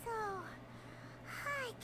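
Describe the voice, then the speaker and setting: A woman voicing a tiny, childlike, excitable companion in a video game, with a squeaky voice and expressive, whiny chatter. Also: extremely slow, a little hiss or hum, low-quality recording. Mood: whiny, weary. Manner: refers to self in third person, expressive.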